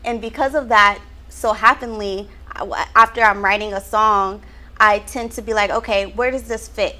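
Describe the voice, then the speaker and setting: A woman's voice: mid-range voice, steady tone, normal speed, sharp tone. A young woman from Houston, a rapper and songwriter, chatting upbeat in an interview and thinking aloud with frequent ums. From Houston, Texas. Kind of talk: casual interview chat.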